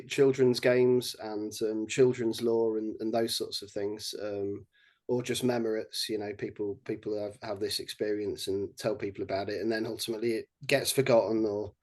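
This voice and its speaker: A man's voice: mid-range voice, a little mellow. Rambling English man, many restarts. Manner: halting, many restarts and fillers. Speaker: project lead, guest. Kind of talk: casual podcast chat.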